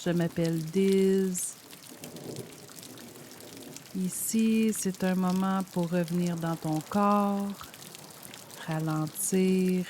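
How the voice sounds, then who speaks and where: A woman guiding a yoga nidra meditation in a gentle, soothing, measured way, leaving pauses between phrases. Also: fairly low pitch, a little hiss or hum. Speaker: meditation guide. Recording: steady rain sound over the voice. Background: rain sound.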